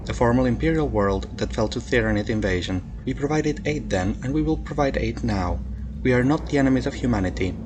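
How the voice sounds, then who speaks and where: A man's voice: fairly low pitch, close to an everyday voice. A man voicing a stern alien military supreme commander who delivers a formal, clipped, authoritative message in a dramatic reading. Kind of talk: dramatic radio transmission reading. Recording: noisy hum behind a transmission.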